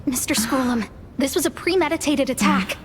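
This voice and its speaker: A woman's voice: high-pitched, animated, normal speed, background noise. An actress playing a courteous, earnest young woman in charge of a space station in a video game, speaking in a polite, explanatory way. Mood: serious. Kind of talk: video game cutscene voice acting.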